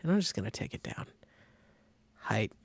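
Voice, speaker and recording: A man giving a casual step-by-step software tutorial, thinking aloud informally as he demonstrates. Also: fairly low pitch, sing-song, moderate pace, creaky, slightly rough, no echo, whispered. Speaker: design tutorial instructor.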